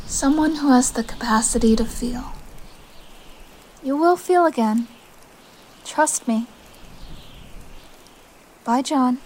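A young woman exile with a high voice, earnest and tender yet firm. She speaks with open feeling, in the manner of audio drama. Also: slow pace, long pauses, a little mellow, a little hiss or hum. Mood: gentle resolve.

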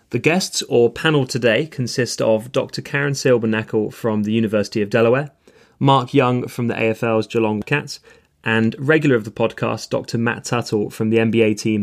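A man hosting a podcast, giving a scripted introduction and politely handing the floor to his guests. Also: medium pitch. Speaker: podcast host.

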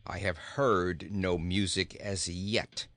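A man voicing a surly talking goat who grumbles with sarcastic, deadpan scorn in a storybook reading. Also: sits low, slow pace, no echo, full character voice. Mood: scornful. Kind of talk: storybook character voice.

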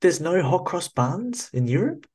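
A man's voice: sits high, sing-song, a little slow, a little mellow, slightly rough. A man from New Zealand with an Australian-sounding accent, hosting a podcast interview in a chatty, upbeat way from a small cubby. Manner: chatty, upbeat, laughs easily. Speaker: podcast host. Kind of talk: casual interview chat.

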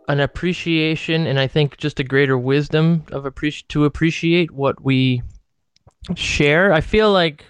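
Smooth-voiced man, reflective chat. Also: medium pitch, no echo. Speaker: tango organizer. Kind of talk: casual group video chat.

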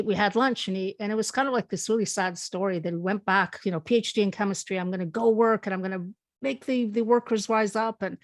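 A middle-aged woman from Spain, co-hosting a podcast and telling a long personal story in a chatty, animated way. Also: fairly low pitch, no room sound. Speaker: podcast co-host. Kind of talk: casual storytelling chat.

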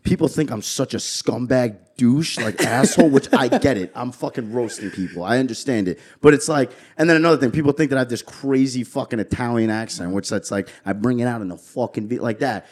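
Profane, joking young podcast host. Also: male voice, medium pitch, a little fast, hardly any pauses. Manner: loose, profane, animated banter. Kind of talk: casual podcast chat.

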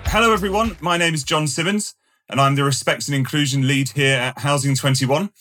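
A man hosting a podcast, speaking in a measured, polite way with the odd uh. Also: on the high side, pushed and bright, faint music behind. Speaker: podcast host. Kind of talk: podcast hosting, scripted intro then casual chat.

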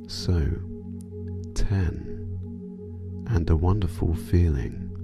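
A man guiding a sleep meditation in a soothing, hypnotic manner, drawing out his phrases and letting endings fall soft and breathy. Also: low voice, muffled recording. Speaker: meditation guide.

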